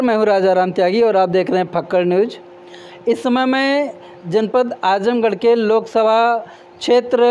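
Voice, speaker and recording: A man's voice: sits high, a little mellow, clean tone, highs cut off. A man hosting an outdoor election interview in a village, politely prompting each guest to speak. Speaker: reporter and host. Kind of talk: local election street interview.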